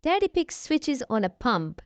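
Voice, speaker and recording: High, lively woman reading a children's storybook. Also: unhurried, clean tone, no echo. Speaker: storybook reader, channel host. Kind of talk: children's read-aloud narration.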